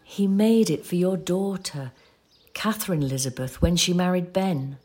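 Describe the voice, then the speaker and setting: A low-voiced woman as a patient companion in an audio drama. Her voice is slightly husky, and she explains things gently, with a measured sorrow. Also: faint music behind. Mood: sorrowful, gentle. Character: patient, sorrowful female companion of a forgetful old man. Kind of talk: audio play acting.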